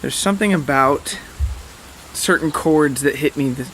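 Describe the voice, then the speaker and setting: A man's voice: a little high, unhurried, fairly bright tone, soft background music, rough recording. A man hosting a podcast in a casual, rambling monologue, informal, hesitant and self-deprecating. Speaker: podcast host.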